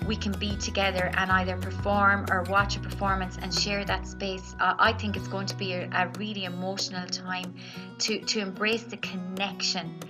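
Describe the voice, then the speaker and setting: A woman speaks off the cuff over clear background music. She is reflective and halting, often repeating phrases as she searches for words, at a middling pitch. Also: level tone. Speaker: theatre artist. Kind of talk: unscripted reflective talk.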